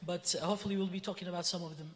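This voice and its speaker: A man's voice: high-pitched, fairly even intonation, racing. A young man from Iraqi Kurdistan, a student panelist, talking a little nervously. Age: young adult. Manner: nervous, laughing lightly. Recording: long hall reverb.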